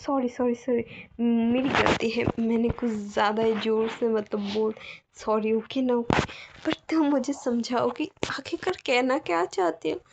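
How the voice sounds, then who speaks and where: A woman's voice: fairly high pitch, unhurried, vocal fry, muffled recording, very noisy. Woman doing a flustered, exasperated alpha spouse. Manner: exasperated, fussing, apologetic.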